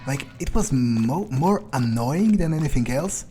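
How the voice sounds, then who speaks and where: Guy doing in-character game playthrough, soft dark tone, deadpan muttering to himself, bit of music under it. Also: mid-range voice, a little slow. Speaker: gamer playing a vampire in character. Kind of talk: in-character let's play, musing aloud.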